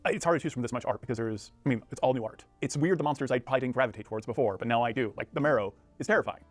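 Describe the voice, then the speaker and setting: Guy talking super fast in a casual promo chat, music in the back. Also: mid-range voice, no room sound.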